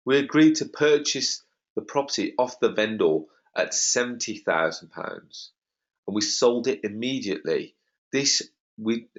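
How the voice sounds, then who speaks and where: A man hosting an online property webinar, slightly croaky, talking in a confident and emphatic sales manner. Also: on the low side. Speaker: webinar host. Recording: dull lo-fi web call audio.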